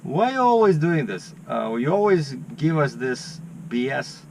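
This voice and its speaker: A man's voice: on the high side, sing-song, a little slow, creaky, darkish tone, a little hiss or hum. A man, a truck driver vlogging in casual chat and animatedly telling stories, acting out his phone calls. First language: Russian.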